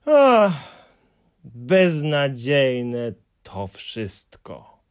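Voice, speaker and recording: A man's voice: mid-range voice, lively intonation. A man voicing a gloomy, fussy male Hemulen who drags out his mournful complaints in an audiobook. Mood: despairing. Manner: mournful, sighing, drawn-out complaints. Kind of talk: audiobook character voice.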